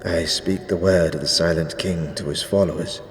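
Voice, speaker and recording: A man playing an ancient, zealous skeleton high priest in a fantasy audio drama, speaking gravely in archaic, ceremonious phrases. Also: steady tone, soft background music, natural voice. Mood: solemn, proud. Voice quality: deep, drawn-out delivery. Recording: echoey reverberant hall, faint noise.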